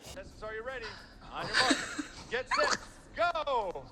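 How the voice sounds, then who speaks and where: A man announcing a halftime game, excitable and animated. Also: very high-pitched, measured pace. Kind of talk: live event commentary.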